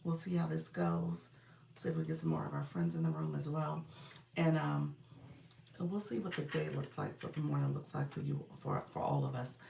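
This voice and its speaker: A congested woman in middle age chats casually with viewers on a live stream, calling out names and thanking them. Her voice sits low with some gravel in it. The mic is set back, so the room rings around her. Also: expressive, moderate pace, mellow, recorded from a distance, slight background noise. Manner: greets viewers by name, chatty and upbeat. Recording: roomy sound, from off the mic.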